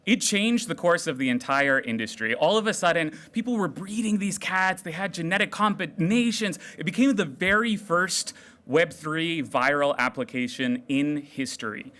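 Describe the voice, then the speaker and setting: A man giving an energetic, jokey live tech talk to a hackathon crowd on a stage microphone. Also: fairly high pitch, moderate pace. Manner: loud, animated, jokey with the crowd. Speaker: developer relations speaker. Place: large room at a hackathon event.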